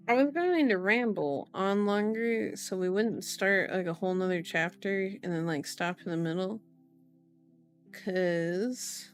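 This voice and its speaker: Slow, sleepy woman streamer, cutesy ASMR chat. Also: mid-range voice. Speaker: streamer hosting an ASMR book reading. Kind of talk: ASMR, casual rambling chat to viewers.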